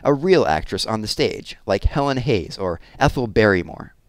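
A man's voice: medium pitch, sing-song, moderate pace, no room sound, studio quality, voice pushed up, close to an everyday voice. A man voicing a proud, earnest young girl, polite but outspoken, in an audiobook story.

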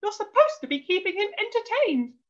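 Storybook mum, played by a woman: high, squeaky, excitable and bossy, frantic scolding delivery, slightly lo-fi sound. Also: normal speed. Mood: cross. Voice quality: squeaky, shrill. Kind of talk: children's storybook character voice.

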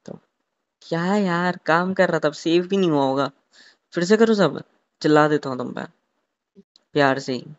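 A young man in an intimate, casual phone chat, telling a romantic scenario haltingly, repeating words and mixing in English. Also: fairly high pitch. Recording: muffled lo-fi phone call recording.

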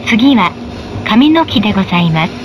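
A woman reading formal, scripted tram stop announcements, politely and with measured, careful enunciation. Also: big pitch swings, lo-fi sound. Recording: noisy in-vehicle recording with tram rumble. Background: tram running noise.

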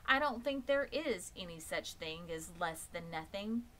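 A lonely young male pig with an earnest, plaintive way of pleading for company, as a woman reads him aloud. The voice is bright and keen. Faint noise sits behind it. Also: lowish voice, animated, measured pace.

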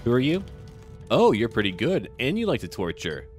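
A man's voice: medium pitch, wildly swooping pitch, moderate pace, soft background music. A man giving casual, offhand commentary as he plays a game, often addressing the characters aloud. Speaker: gaming video host.

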